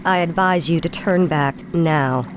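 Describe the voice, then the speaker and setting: A woman's voice: low voice, measured pace, background noise. An actress playing a proud, scornful female elf ranger general in a video game cutscene. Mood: defiant.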